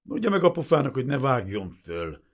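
A man's voice: medium pitch, sing-song, voice pushed up. A man voicing a cruel, sneering young Soviet lieutenant who snarls and barks with contempt in a storybook reading. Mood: contemptuous.

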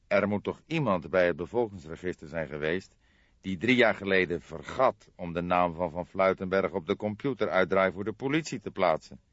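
A man voicing an older police detective, calm and wry, speaking in measured, formal phrases in an audiobook. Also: sits low, no room sound, lo-fi sound. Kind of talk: audiobook character voice.

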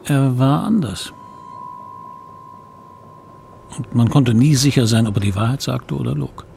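A man playing a middle-aged narrator who wistfully recalls his childhood, measured and sombre, in a radio drama. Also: mid-range voice, creaky, warm tone, a little hiss or hum, lightly acted. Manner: measured, reflective, sombre storytelling.

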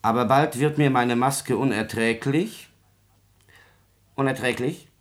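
An actor playing a cold, calculating secretary, measured and insinuating, in a classical radio drama. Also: male voice, mid-range voice, lightly acted. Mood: cold dictating. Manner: measured, insinuating, falsely kind. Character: cold, scheming male secretary, oily and calculating.

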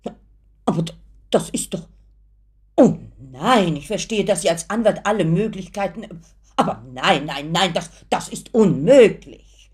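A woman's voice: on the low side, lively intonation, unhurried, a little mellow, breathy edge, exaggerated voice. A middle-aged actress in a German radio play, playing a genteel, doting mother who speaks politely, with insistent emotion. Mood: shocked, disbelieving. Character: genteel, anxious, doting mother of grown son.

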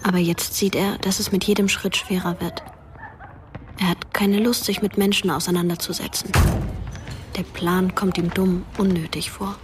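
An actress playing a dead teenage girl who narrates as a ghost in a German radio drama, measured and intimate, sometimes whispery. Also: lowish voice, flat delivery, noisy background, lightly acted. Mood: quietly sad.

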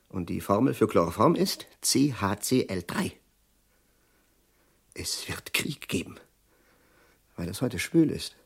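An actor in a German radio play, playing an eccentric, brooding chemist who speaks abruptly and rants with irony. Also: male voice, mid-range voice, husky. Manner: abrupt, exclamatory, ironic, swinging into rants. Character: eccentric male chemist, agitated, brooding, prophesying war.

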